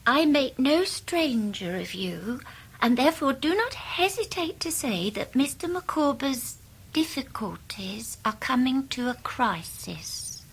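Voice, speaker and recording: A genteel middle-aged English wife, husky, self-dramatising, highish, swooping intonation, radio drama. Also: female voice, very animated, measured pace, full character voice, low-quality recording. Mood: plaintive, genteel. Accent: British English. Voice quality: husky, breathy. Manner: genteel, tremulous, confiding. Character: faded genteel wife, anxious, self-dramatising, proudly well-bred.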